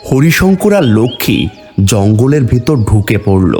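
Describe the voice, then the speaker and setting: Animated male fairy-tale narrator. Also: medium pitch, measured pace, faint music behind. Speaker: storyteller narrator.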